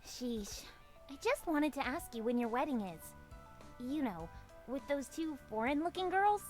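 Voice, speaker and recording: A woman playing a pushy, teasing young female friend in a visual novel, exclamatory and quick to scold. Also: high-pitched, big pitch swings, a little mellow, faint music behind. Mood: teasing, impatient. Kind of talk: anime visual novel voice acting.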